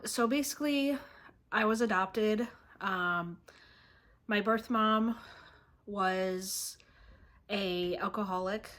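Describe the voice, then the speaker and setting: A woman vlogger telling a personal story to camera in a halting way, often pausing and clearing her throat. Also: medium pitch, a bit bright. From born in Russia, raised in the United States from age three.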